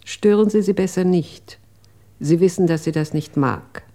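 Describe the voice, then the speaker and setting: An actress playing a cautioning, firm housekeeper in a German radio crime drama. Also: female voice, on the low side, a little slow, warm tone.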